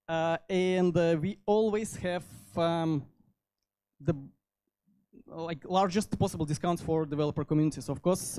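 A man in his thirties with a Russian accent giving an informal technical talk into a handheld microphone, halting often as he goes. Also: a little high, mellow. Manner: halting delivery with frequent hesitations. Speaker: conference speaker, software developer. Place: indoor meetup venue.

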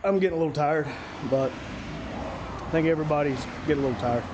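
A man's voice: fairly high pitch, a little fast, background noise. Man, 30s, casual folksy vlogger; slightly muffled action-cam sound. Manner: easygoing, drawling, folksy. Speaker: channel host. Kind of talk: casual walkthrough vlog. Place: large garage service bay. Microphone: action camera.

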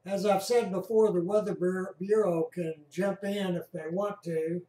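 A man from Texas giving casual commentary over a weather radar screen, talking in a relaxed, halting way with frequent pauses. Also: high-pitched, reverberant, recorded from a distance, rough recording. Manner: slow, relaxed, halting with many uhs. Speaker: radio hobbyist narrating a weather radar screen. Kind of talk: casual commentary over recorded radio traffic.

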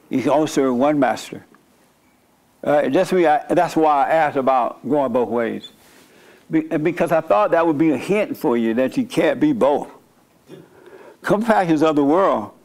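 A middle-aged man preaching in a blunt, declarative way, answering questions from his seat on a studio stage. His voice sits a little higher than most men's. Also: a little mellow. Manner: blunt, preachy, quick asides and mock-prayer voices. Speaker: pastor. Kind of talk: sermon-style Q&A with audience. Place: dark studio set, seated by small table.

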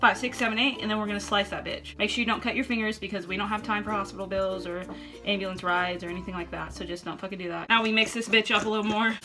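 A woman's voice: mid-range voice, fairly even intonation, fast talker. A young woman in her home kitchen giving a mock cooking tutorial to a camera in front of her, swearing constantly in a brash, joking way. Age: young adult. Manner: brash, profane, mock-instructional. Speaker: vlogger. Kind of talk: comedic cooking vlog. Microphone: camera in front at table height. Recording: music playing loudly behind.

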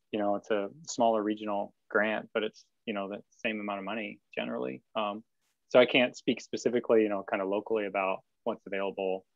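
A man's voice: on the low side, gravelly, dry recording. A man in his thirties speaking casually as a panelist on a video call from a studio, with loose phrasing and frequent fillers. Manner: loose, filler-heavy, restarts. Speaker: panelist artist. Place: studio workspace. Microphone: video call webcam. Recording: dull dry webcam audio.

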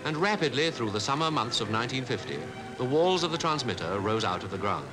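A man with a British Received Pronunciation accent reading scripted 1950s newsreel narration in a formal, measured way. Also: medium pitch, animated, loud music behind the voice. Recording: 1950s newsreel archive soundtrack.

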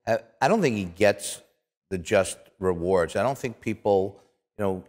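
A man's voice: lowish voice, animated, unhurried, darkish tone, big-room sound. A middle-aged man hosting a casual sports interview. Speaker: talk show host. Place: small indoor studio set with couches.

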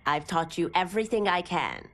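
An actress playing a firm female teacher of young fighters in a video game cutscene, instructive and lively. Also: on the low side, moderate pace. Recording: game score behind the voice.

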